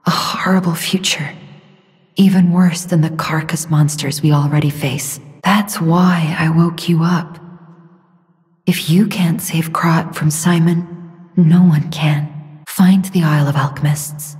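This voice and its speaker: A woman playing a gentle, solemn young mystic who guides a hero in a video game, speaking softly and breathily with hushed, earnest pleading. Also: low-pitched, steady tone, slow pace. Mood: grave. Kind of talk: video game voice acting, slow earnest exposition. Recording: long reverb, music behind. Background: music.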